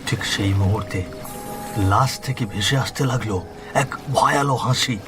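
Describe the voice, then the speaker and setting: A man's voice: mid-range voice, unhurried. Man narrating a horror story over music. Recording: music behind the voice.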